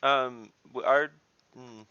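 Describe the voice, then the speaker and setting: A man's voice: mid-range voice, steady tone, takes it slowly, pushed and bright, clean tone, no room sound, dull audio. A man playing an online tabletop game, chatting casually and chiming in with joking remarks. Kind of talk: casual banter.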